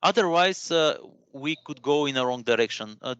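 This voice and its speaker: Bright, clear-voiced man, non-native English. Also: a little high, lively intonation, no echo, highs cut off. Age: 30s. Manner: halting, searching for words, repeating himself. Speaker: guest presenter at a career webinar. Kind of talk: informal motivational presentation.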